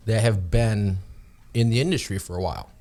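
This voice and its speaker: A man's voice: lowish voice, a little fast, a little mellow. A man from upstate New York with an American accent, explaining things casually as a guest in a radio interview. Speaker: interview guest, regional sales manager. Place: radio studio.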